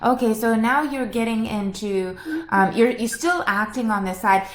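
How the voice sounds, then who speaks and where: A woman's voice: a little high, moderate pace. A woman hosting a conversational podcast interview, summing up what she hears and asking questions. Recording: roomy, echoey sound.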